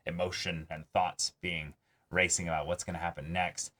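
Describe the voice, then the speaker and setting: Very low-voiced man chatting casually. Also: flat delivery, normal speed, sharp tone. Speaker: co-host of an anime reaction show. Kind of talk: casual discussion of an anime episode.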